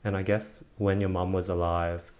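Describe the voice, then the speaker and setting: Deep-voiced curious male host. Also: flat delivery, normal speed, darkish tone. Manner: curious, probing, repeats himself to restart. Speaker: podcast host. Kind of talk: interview questions.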